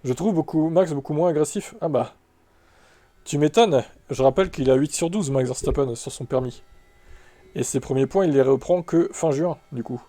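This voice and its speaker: A man's voice: fairly high pitch, normal speed, dark tone. A man in his thirties with a French accent, chatting casually on a live stream and answering viewer comments as he goes. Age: 30s. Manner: conversational, reacting to chat with asides and fillers.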